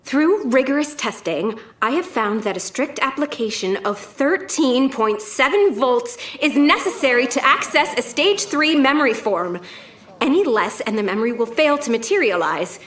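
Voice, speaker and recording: A woman's voice: high-pitched, animated, moderate pace, fairly bright tone. A woman playing an earnest young woman in a scripted audio drama, heard with a roomy echo. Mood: careful reading. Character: earnest young woman seeking justice for her grandmother.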